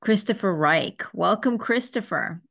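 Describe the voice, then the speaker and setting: A woman's voice: medium pitch, animated, measured pace, gravelly, a bit bright. A woman hosting a podcast in a casual, conversational interview style. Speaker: podcast host.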